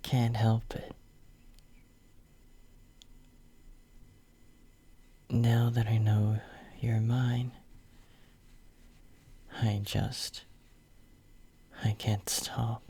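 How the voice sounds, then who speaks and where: Man acting as devoted young male lover, possessive, husky whisper, gravelly edge, close-mic romantic ASMR, tender and intimate. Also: on the low side, darkish tone, heavily acted. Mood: bashful, smitten. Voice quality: breathy, whispery. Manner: slow, tender, intimate murmuring. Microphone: close microphone.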